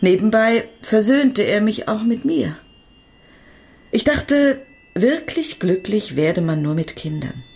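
A woman's voice: medium pitch, animated, takes it slowly, creaky, darkish tone. An actress playing a devoted woman doctor who tells of her love in a reflective, intimate radio drama monologue. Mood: reflective, tender.

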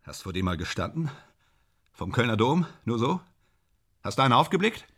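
A man's voice: mid-range voice, very animated, moderate pace, fairly bright tone, faint music behind. A man in a German radio play, playing a grandiose, self-deluding film actor who declaims in exalted, repetitive phrases. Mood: insistent.

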